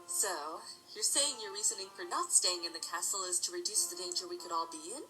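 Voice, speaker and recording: A woman playing a composed, regal mare ruler in an audio drama, speaking formally and in measured phrases with courteous authority. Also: lowish voice, sharp tone, faint music behind, poor audio. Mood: gently probing.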